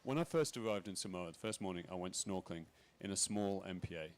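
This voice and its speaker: A very deep-voiced man giving remarks at a conference panel. He talks in a halting way, with lots of "um"s and restarts as he works through his points. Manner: halting, many fillers and restarts. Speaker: panel speaker. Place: conference pavilion.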